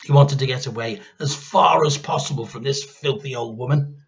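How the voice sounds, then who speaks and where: A man reading a children's story aloud in an expressive, theatrical narrating style. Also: mid-range voice, moderate pace, dull audio.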